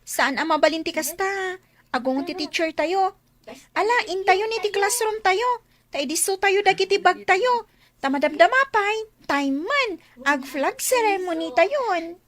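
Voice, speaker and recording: A woman's voice: very high-pitched, animated, a bit bright, exaggerated voice. A woman playing a playful, giggly grade-six schoolgirl in an Ilocano radio drama. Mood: playful, teasing. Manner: giggly, playful, drawn-out exclamations.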